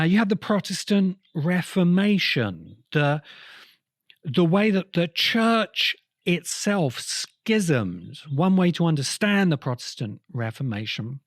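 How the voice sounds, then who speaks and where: A man giving an explanatory lecture in emphatic short phrases with pauses between them. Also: fairly high pitch, no room sound, clean studio sound.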